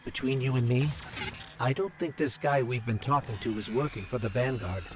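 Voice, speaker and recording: An actor playing a wary, earnest robot companion in a video game, articulate and questioning. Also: male voice, mid-range voice, moderate pace, over music, close to an everyday voice. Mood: curious, musing.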